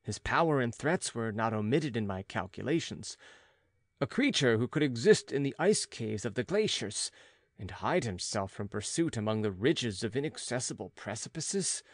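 A man narrating a classic gothic novel as an audiobook, in a measured, dramatic literary manner. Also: medium pitch, lively intonation, no echo, clean studio sound.

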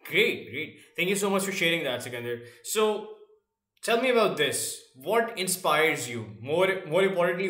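A man hosting an interview, encouraging his guest and filling pauses with "you know.". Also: a little high, fairly bright tone. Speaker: host. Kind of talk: interview host. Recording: roomy echo.

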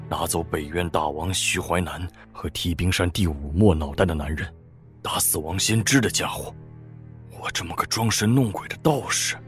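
A man's voice: sits low, a bit bright. An actor playing an awestruck, nervous Taoist trickster who speaks humbly and colloquially to his lord in a Chinese wuxia audio drama. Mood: awed, anxious. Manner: deferential, fawning, colloquial.

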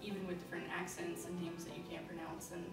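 A woman's voice: lowish voice, flat delivery, quick pace. A young woman, a former student, talks casually and reflectively about her school years. She sounds distant in an echoey room, with guitar music behind her. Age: young adult. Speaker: alumna. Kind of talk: interview. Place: classroom with windows.

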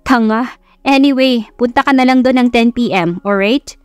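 A woman's voice: fairly high pitch, normal speed, smooth, no room sound, soft background music, natural voice. Woman doing a sassy, blunt young best friend. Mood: teasing. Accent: Filipino. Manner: slangy, exasperated teasing.

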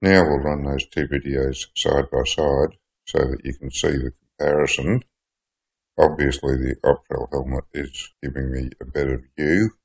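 Very deep gravelly man. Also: fairly even intonation, normal speed. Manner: chatty, plainspoken, self-deprecating. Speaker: hobby welder reviewing gear. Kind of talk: casual product review. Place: workshop shed.

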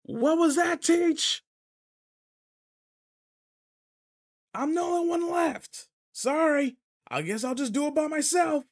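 A man's voice: extremely high pitch, a little slow. A man playing a cocky, irritable teenage delinquent in a roleplay audio drama, talking casually and teasingly straight to the listener. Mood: calling out.

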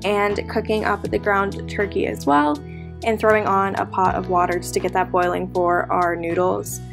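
A woman's voice: mid-range voice, fairly even intonation, moderate pace, gravelly. A young woman in her twenties chatting casually through a cooking vlog at home, giving upbeat step-by-step tips. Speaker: flight attendant vlogger. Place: home kitchen, indoors. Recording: guitar music playing underneath. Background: guitar music.

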